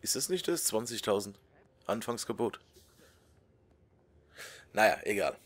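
A man's voice: lowish voice, lively intonation, measured pace. A man casually commenting on his gameplay, joking and muttering asides, sometimes dropping to a whisper while he reads. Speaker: let's play host.

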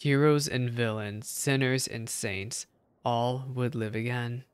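A man voicing a solemn, wise dragon in a video game, speaking in formal, archaic, measured recital. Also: mid-range voice, fairly even intonation, a little hiss or hum, natural voice. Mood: solemn reverence.

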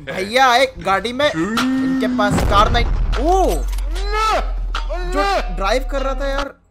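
Animated young man commentating, music behind. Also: very high-pitched, slow. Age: young adult. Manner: animated, slangy, teasing exclamations. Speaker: gaming YouTuber host. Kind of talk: casual gameplay reaction commentary.

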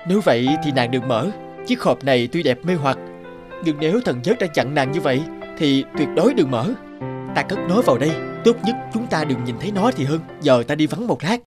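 Male actor as wary but easily charmed Titan, earnest, expressive, higher male pitch, fairy-tale cartoon. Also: fairly quick, music in the background, lightly acted. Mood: gently cautioning. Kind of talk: animated fairy-tale dubbing.

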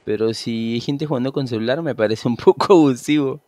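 A Venezuelan man in his thirties talks on a headset at home while he plays, easygoing and a bit rambling. His pitch sits mid-range, and the sound is dry and close, with little room around it. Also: fairly quick, a little mellow, no echo. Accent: Venezuelan Spanish. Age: 30s. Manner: chatty, easygoing, rambling with restarts. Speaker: streamer. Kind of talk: casual gameplay chat.

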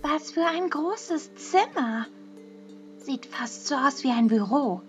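Very high, childlike anime girl. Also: animated, slow, faint music behind. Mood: curious. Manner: childlike, singsong, curious. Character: childlike, carefree, curious teenage girl. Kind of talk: German fan-dubbed anime audio drama.

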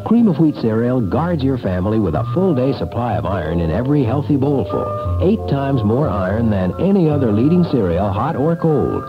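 A man's voice: mid-range voice, very animated, moderate pace, hardly any pauses. A man announcing a scripted 1960s radio commercial on an old AM broadcast. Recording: dull 1960s AM radio aircheck. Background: music.

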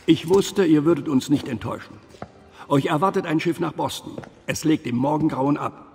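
A man playing a grave, assured gentleman who leads a secret order in a video game, formal, deliberate and authoritative. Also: a little high, lightly acted. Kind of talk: dubbed video game cutscene dialogue. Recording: long reverberant hall.

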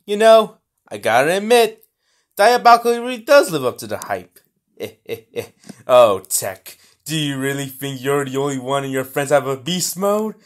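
A man acts out a gloating, hot-tempered supervillain from an amateur cartoon. The delivery is melodramatic and unhurried, stretching out the taunts. The pitch sits mid-range but swings widely, theatrical and vengeful. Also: lively intonation, lightly acted. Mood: mocking, vengeful. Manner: melodramatic, taunting, drawn-out exclamations. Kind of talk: amateur cartoon villain voicelines.

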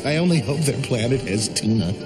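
A man voicing a sardonic talking cat in a cartoon, with wry, deadpan wisecracks. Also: medium pitch, fairly quick, close to an everyday voice. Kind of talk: cartoon voice acting. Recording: loud music behind the voice.

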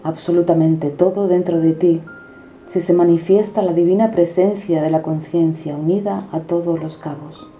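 A woman declaiming poetic prose in a solemn, measured recitation. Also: lowish voice, fairly even intonation, warm tone. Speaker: poetry reciter. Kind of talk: declaimed spoken-word poetry. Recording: dull reverberant recording over music. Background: background music.

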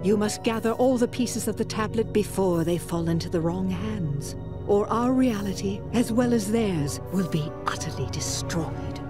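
An actress playing a grave, formal older psychic guide in a video game. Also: female voice, mid-range voice, moderate pace, close to an everyday voice. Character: solemn older female psychic mystic guide. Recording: loud music behind the voice.

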